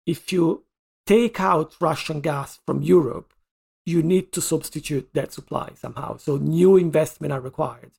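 A man with a gravelly, slightly husky voice speaks as a podcast guest. He explains hesitantly, repeating words and pausing as he works through his point. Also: fairly high pitch, a little mellow. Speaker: energy analyst guest. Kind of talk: podcast discussion.

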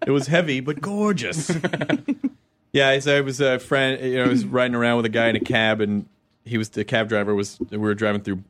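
A man's voice: mid-range voice, fairly even intonation, fairly quick, a bit bright. A man hosting a casual chat, asking questions and joking with his guests. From southern United States. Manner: playful, joking interviewer. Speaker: host.

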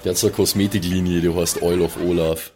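Low-voiced or man. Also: level tone, quick pace, very noisy. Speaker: tabletop player. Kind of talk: casual improvised role-play chat.

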